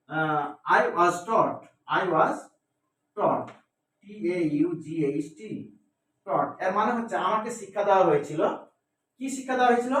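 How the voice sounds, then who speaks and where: A man's voice: high voice, fairly bright tone. A man teaching English grammar step by step in a didactic way, asking and answering his own questions and repeating key words. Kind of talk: step-by-step classroom lesson. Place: echoey indoor room. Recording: very echoey room, distant microphone.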